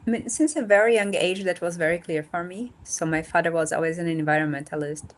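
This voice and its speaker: Brazilian lady in her 30s on a call, portuguese native, kinda low voice, stops to find words a lot. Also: steady tone, brisk. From north and northeast Brazil. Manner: thoughtful, searching for words with fillers. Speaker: guest, nonprofit founder. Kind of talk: casual interview talk. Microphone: remote video call.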